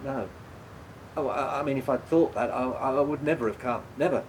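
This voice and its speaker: A man's voice: mid-range voice, moderate pace, a little hiss or hum, natural voice, low-quality recording. An actor in a radio play voicing a guilt-ridden, apologetic man who stammers and hesitates as he speaks. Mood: nervous, defensive.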